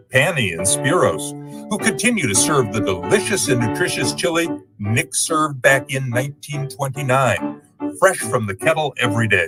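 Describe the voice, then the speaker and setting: Male announcer, mid-pitched, very lively intonation, showy scripted ad read, music behind. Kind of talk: scripted sponsor advertisement read.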